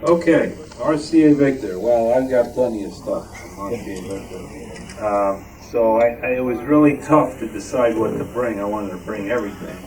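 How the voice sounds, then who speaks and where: A man's voice: on the low side, moderate pace, darkish tone. A man giving an informal show-and-tell talk as a club member presenting items from a collection. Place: club meeting room with members behind. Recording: distant mic, noisy room.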